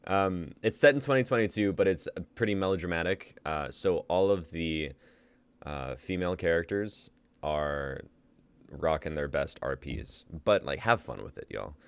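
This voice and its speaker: A man's voice: sits low, expressive, moderate pace, smooth, dry recording. A young man in his twenties hosting a live script reading, laughing easily and reading the stage directions. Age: 20s. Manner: laid-back, laughing, uses y'all. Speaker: host and playwright.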